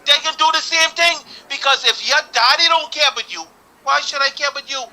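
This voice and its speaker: A man's voice: extremely high pitch, fairly quick, pushed and bright, rough recording. A Bahamian man calling in to a talk show, arguing earnestly and building up heat as he goes. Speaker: caller. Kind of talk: call-in opinion.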